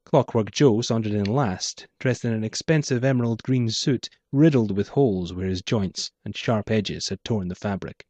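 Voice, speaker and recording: A man's voice: on the low side, normal speed, few pauses, a little mellow, dry recording. A man reading his own noir short story aloud as scripted narration. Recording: dull muffled lo-fi audio.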